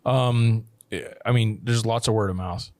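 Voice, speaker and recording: A man's voice: lowish voice, steady tone, measured pace, darkish tone, dry recording. A man talking casually on a podcast about running his production company, rambling with frequent restarts. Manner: rambling, many restarts and "you know". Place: living abroad, working from Thailand.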